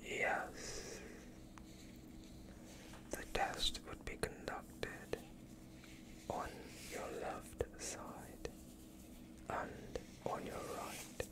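A young man acts out a male vampire doctor in an intimate ASMR roleplay. He speaks entirely in a breathy whisper, drawing the words out extremely slowly. His bedside manner is soothing and reassuring, with a sinister hunger for blood beneath it. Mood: calm, reassuring. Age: young adult. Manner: slow, hushed, reassuring, close to the listener. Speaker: ASMR performer.

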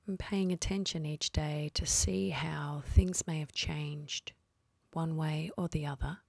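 A woman leading a guided meditation in a soft, husky, breathy voice, speaking slowly and soothingly with long pauses. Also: low voice, steady tone, no room sound.

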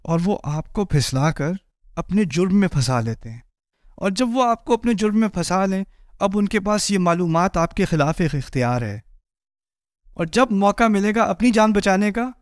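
A man in his forties giving a lively sermon on a stage, moving and gesturing as he speaks, acting out the people in the story through a headset mic. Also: no echo, studio quality. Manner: animated, gestures widely while pacing a stage; acts out voices of people in the story, rising high for a shout. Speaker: preacher. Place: indoor stage before a patterned backdrop.

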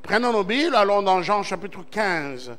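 A man's voice: high-pitched, animated, normal speed, fairly bright tone. A male pastor from Central Congo preaching a Sunday sermon, reading scripture and stressing words with rising amens. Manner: preaching with emphatic swells and call-and-response amens. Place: church service.

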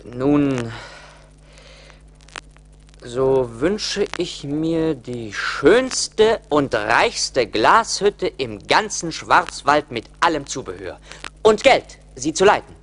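Casting: foolish young charcoal burner, hugely animated, slow delivery, radio drama. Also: male voice, fairly high pitch, full character voice. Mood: eager. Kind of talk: 1980s German radio play acting.

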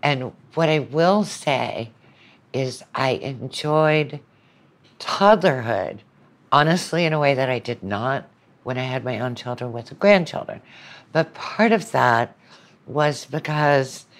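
An older woman with a strikingly deep voice speaks in a conversational interview. She is earnest and reflective, stating her opinions with emphasis. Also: animated, slow. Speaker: podcast guest, university lecturer.

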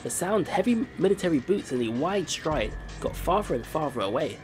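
A man reads story narration from a game aloud, trying lines again when he trips. His voice is a bit high and swings up and down a lot. Game music plays clearly behind him. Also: sing-song, normal speed. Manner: reads with small stumbles and restarts. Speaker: let's play narrator. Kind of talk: reading game text aloud.

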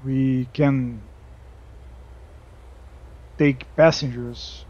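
A Brazilian man with a Brazilian Portuguese accent, chatting casually over gameplay, halting, with many fillers and restarts. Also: medium pitch, fairly even intonation, warm tone, lo-fi sound. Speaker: gaming YouTuber. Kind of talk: casual game commentary.

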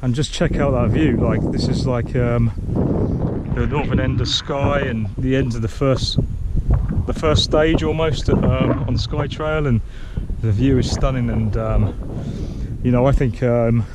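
A man talks casually to an action camera on an open coastal hillside, pausing often with ums and starting sentences over. His voice sits at a middle pitch for a man. Loud wind roars across the microphone throughout. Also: steady tone. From Britain. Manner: hesitant, many ums and restarts. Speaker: hiker filming his own trail vlog. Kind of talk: casual rambling chat to camera. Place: open grassy headland by the sea, outdoors. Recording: loud wind noise on the mic outdoors.

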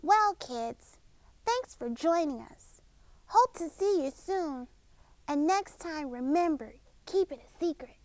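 A cheerful puppet girl hosting a children's show, played by a woman. She talks in a very high, slow, sing-song voice straight to the kids. The sound is dry and close. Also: lively intonation, a little mellow, dry recording.

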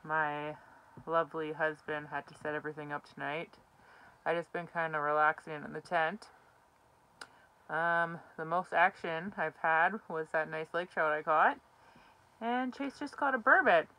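A woman's voice: deep voice, measured pace, sharp tone, rough recording. A young woman chatting casually in an outdoor vlog, recorded close to the camera inside a small ice fishing tent. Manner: chatty, giggly, easygoing. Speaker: outdoor vlogger. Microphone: camera held close.

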